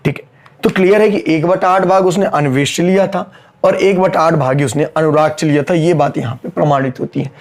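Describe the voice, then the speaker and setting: A man teaching an accountancy class online in Hindi mixed with English terms, working sums aloud and scolding his students, his throat sore and strained. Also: fairly high pitch. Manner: brisk, scolding, repeats key lines.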